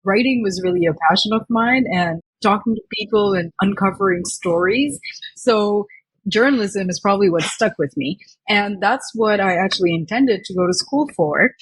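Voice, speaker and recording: A woman's voice: mid-range voice, moderate pace, breathy edge, no room sound, rough recording. A woman in her twenties from Dubai, a company founder telling her story in a chatty, reflective way as a podcast guest. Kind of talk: podcast interview.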